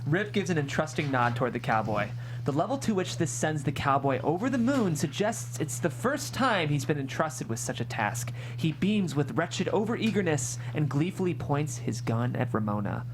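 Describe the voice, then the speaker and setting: A woman reading a script's stage directions as an expressive narrator. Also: low voice, normal speed, noisy background.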